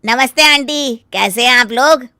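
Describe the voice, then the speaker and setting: A woman voicing a friendly, teasing young brother in an animated story. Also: high voice, unhurried, no echo, studio quality, heavily acted. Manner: bright, teasing.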